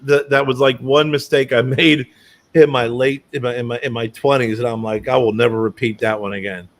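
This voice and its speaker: A man hosting a casual chat and telling lively anecdotes with many restarts. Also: medium pitch, a little fast.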